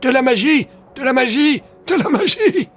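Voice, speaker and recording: A man voicing a crazed old man in an audio story, hysterically shouting repeated warnings. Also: very high-pitched, animated, moderate pace, voice pushed up. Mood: frenzied. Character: crazed old man shrieking about magic and doom.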